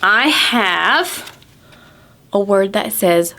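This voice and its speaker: A woman presenting storytime to preschoolers, asking simple questions and stressing key words deliberately. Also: mid-range voice, animated, bright, forceful tone, slight background noise. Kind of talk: storybook reading and talking to young children.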